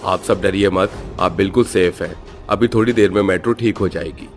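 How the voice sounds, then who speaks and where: A man's voice: deep voice, moderate pace, soft background music, pitched below the performer's own voice. A man voicing a polite male metro staff member who speaks formally and soothingly in a cartoon story. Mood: reassuring.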